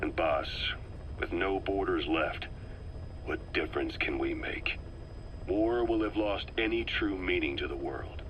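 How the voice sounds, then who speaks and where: An actor playing a shrewd male intelligence operative, delivering a measured, earnest briefing in a video game tape. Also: low voice, dull audio, slight background noise.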